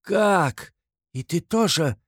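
A man voicing a hysterical, sickly, impoverished widow in an audiobook, shrill and frantic, fiercely protective and indignant. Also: lively intonation, slow, husky, dry recording, clean studio sound, heavily acted. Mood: hysterical scorn. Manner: frantic repetitions, accusing, pleading. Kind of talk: dramatized literary audiobook.

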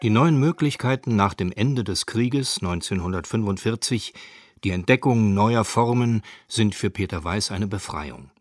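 Deep-voiced male radio documentary narrator. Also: animated, unhurried. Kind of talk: scripted narration.

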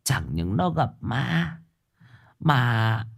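A man voicing a secretive old village woman who speaks in a low, conspiratorial voice. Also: very animated, very slow, vocal fry, full character voice. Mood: hushed. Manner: hushed, conspiratorial.

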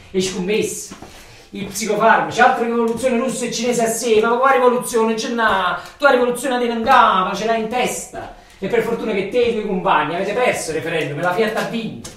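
A man's voice: high voice, fairly bright tone. A man with a Neapolitan accent playing an embittered, rambling factory worker in a theatrical monologue, colloquial and repetitive. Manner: colloquial, repetitive, ranting and muttering. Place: echoey room or hall, far from the mic. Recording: echoey, distant stage recording with faint noise.

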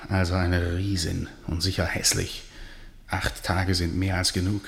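An actor playing a sardonic, grumbling playwright and jealous lover in a German radio drama, with a mocking, theatrical, teasing manner. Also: male voice, low voice, flat delivery, unhurried, creaky. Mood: sarcastic, exasperated. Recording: roomy radio play with faint noise.

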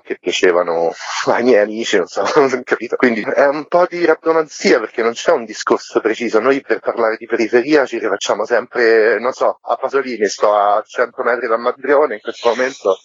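Man, low husky voice, colloquial and wry interview guest, dry muffled radio link. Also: no echo. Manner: colloquial, wry, self-deprecating, mildly profane. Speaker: interview guest, book series curator. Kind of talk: informal radio interview, explaining.

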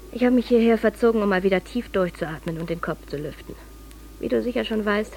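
Eine Schauspielerin spielt in einem Hörspiel eine desillusionierte junge Journalistin, die nachdenklich und leicht ironisch einen Brief vorträgt. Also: female voice, medium pitch, darkish tone, lightly acted. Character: disillusioned female young journalist, reflective and wry. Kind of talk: letter read aloud in radio drama. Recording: faint ambient noise behind the voice.

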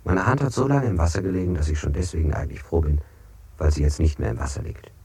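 Interrogated man, low and dark-toned, repetitive literary phrasing, evasive, oddly exact, German experimental radio play. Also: big pitch swings, fast. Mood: detached, insistent. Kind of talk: 1960s German avant-garde radio play.

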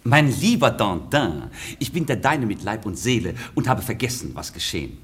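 Smooth haughty nobleman, hugely animated pitch, courtly, falsely polite, classic radio play. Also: normal speed. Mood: mock courteous. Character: suave, haughty gentleman rival, falsely courteous. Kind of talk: German radio play acting of classic comedy.

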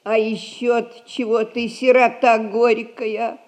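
Fretful elderly merchant's widow, unhurried singsong, high voice, vintage theatrical radio comedy. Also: female voice, flat delivery, darkish tone. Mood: placating. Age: older. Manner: singsong folksy lamenting, theatrical. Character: rich old merchant widow, pious, fretful, domineering at home. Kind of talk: 1960s stage comedy radio acting.

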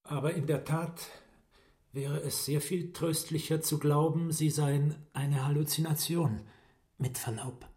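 Broken, resigned writer male actor for radio play: fairly high voice, subdued and weary. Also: steady tone, a little slow, darkish tone, lightly acted. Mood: wary, polite. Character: sick, broken, gloomy writer, gently resigned.

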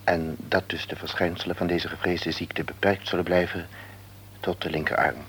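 An actor playing a frank, cautious male hospital doctor in a radio play, speaking in a measured, formal way. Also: low voice, little pitch movement, a bit bright, slight background noise. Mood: earnest.

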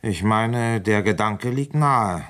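A man's voice: lowish voice, slow, fairly bright tone. A man playing a dogged, feverish, suspicious police commissioner in a German radio play, speaking with probing, dry weariness. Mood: suspicious, pressing. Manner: probing, insinuating, narrates in dry retrospect. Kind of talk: German radio play acting and first-person narration.

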